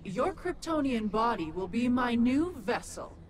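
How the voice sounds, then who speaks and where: A woman playing a menacing, mocking sorceress spirit in a video game, taunting slowly and theatrically over music. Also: a little high, very animated. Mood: sinister taunting. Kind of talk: video game character dialogue. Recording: game clips under loud music.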